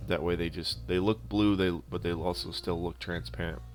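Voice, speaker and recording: A man with a deep voice gives a casual voice-over for a hands-on prop-making tutorial. He explains things in an unscripted, halting manner, as a hobby host would. Instrumental music plays behind his voice. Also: fairly even intonation.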